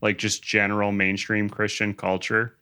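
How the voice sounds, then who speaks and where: Wry podcast guy, lowish but bright voice, casual chat. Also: monotone, normal speed, clear, resonant tone.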